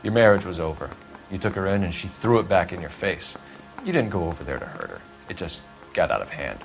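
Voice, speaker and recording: Earnest, relentless American detective, animated delivery, formal and pressing, music underneath, for a crime game. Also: male voice, low-pitched, brisk, vocal fry, exaggerated voice, rough recording. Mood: accusing. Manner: accusatory, clipped, formal. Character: earnest, driven male police detective, relentless interrogator. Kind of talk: dramatic video game acting.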